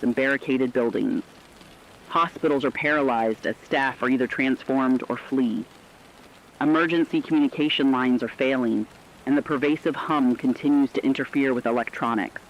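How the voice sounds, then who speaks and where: A man playing a grave radio news reporter, delivering a formal, measured emergency bulletin in a scripted drama. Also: mid-range voice, dull audio, a little hiss or hum, close to an everyday voice. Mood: grave, urgent.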